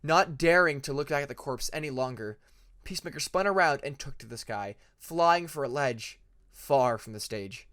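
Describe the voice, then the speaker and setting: Man, expressive audiobook narrator, mid-range voice, dramatic fiction reading. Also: mid-range voice, moderate pace. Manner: expressive, acts out dramatic passages.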